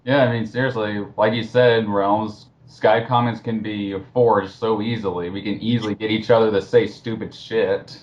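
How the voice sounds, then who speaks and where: A man in casual group commentary, scornful and quick to swear, heard over a dull voice chat. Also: fairly low pitch, level tone. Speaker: commentary co-host.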